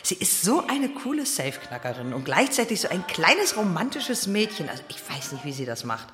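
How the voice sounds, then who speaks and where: An actress playing a brash, casual bank robber with a colloquial, teasing manner in a naturalistic radio drama. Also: female voice, fairly low pitch, big pitch swings, brisk. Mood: casual, wondering. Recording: music behind the voice. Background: music.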